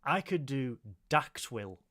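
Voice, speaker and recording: Excitable northern English man hosting. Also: medium pitch, slow pace. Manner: excitable, sweary, theatrical sign-offs. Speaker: podcast host. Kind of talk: casual chat.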